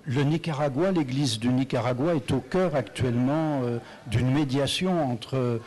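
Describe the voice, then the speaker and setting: A man's voice: mid-range voice, moderate pace, poor audio. Man asking radio interview questions. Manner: steady, clear questioning with brief setups.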